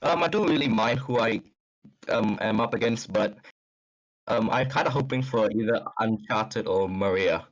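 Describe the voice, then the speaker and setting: A man's voice: lowish voice, moderate pace, poor audio. A man playing as a quiz show contestant, talking hesitantly with frequent ums. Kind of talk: casual game show play.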